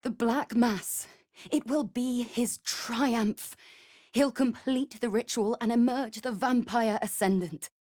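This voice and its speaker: A woman's voice: on the high side, steady tone, slow pace, mellow, no echo, studio quality. An actress playing an earnest, anxious female vampire spawn, a loyal sister who speaks formally, in a video game. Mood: reverent. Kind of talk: dramatic video game voice acting.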